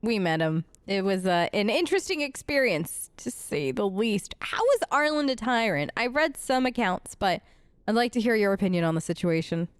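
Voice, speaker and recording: A woman's voice: mid-range voice, big pitch swings, normal speed, clean tone, no echo. A woman doing casual role-play commentary for a video game, wry and conversational, on a close microphone. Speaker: let's play host.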